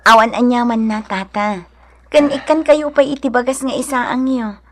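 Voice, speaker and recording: A woman acting a kind, generous, devout wife and neighbor, gentle and obliging, in a radio drama. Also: fairly high pitch, moderate pace, lightly acted. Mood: kindly.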